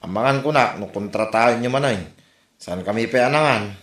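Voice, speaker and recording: A man's voice: medium pitch, normal speed, fairly bright tone, natural voice. A man playing an exasperated grown son in a comedy drama, reproachful and plain-spoken. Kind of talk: Ilocano comedy radio-style drama. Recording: roomy echo on the voice.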